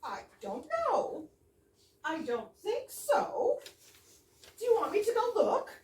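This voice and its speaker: A woman's voice: extremely high pitch, sing-song, slow pace, full character voice. A woman in an amateur stage reading, playing an insistent, contrary, dramatic housewife in an absurdist comedy. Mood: gracious, anxious. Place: echoey room at a live readers theater. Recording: echoey room, distant mic, faint noise.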